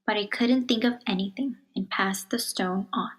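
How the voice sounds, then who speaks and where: A woman reads a children's picture book aloud in a measured, expressive storytelling manner, dropping to a hush on some phrases. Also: medium pitch, noisy background. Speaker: storybook reader.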